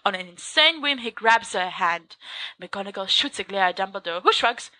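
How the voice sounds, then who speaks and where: A woman narrating a story aloud in an expressive, lively reading. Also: medium pitch, normal speed. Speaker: podfic reader.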